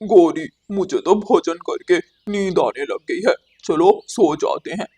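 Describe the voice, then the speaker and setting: A woman's voice: on the low side, animated, a little slow, mellow, slightly rough, pitched below the performer's own voice. A woman voicing a sly, lazy male crow in a cartoon, with a smug, scornful manner. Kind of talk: cartoon character acting.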